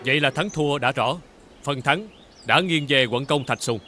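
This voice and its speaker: An actor playing a florid, declamatory official judging a contest in a fairy-tale costume film. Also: male voice, mid-range voice, normal speed, over loud music, lightly acted. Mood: pompous, announcing.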